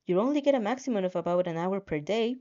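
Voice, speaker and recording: A controlling game system speaks through a woman reader in a soft, mellow voice. It is matter-of-fact and a little teasing, like a story narrator's sidekick. The recording sounds somewhat muffled. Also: medium pitch, lively intonation, fast talker, natural voice. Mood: nagging. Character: bossy game-like system voice, controlling but casual.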